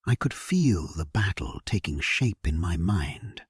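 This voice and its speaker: A man narrating a first-person historical sleep story in a solemn, measured, hushed, breathy voice, as a grave and resolute medieval king. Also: sits low, sing-song, dry recording, clean studio sound.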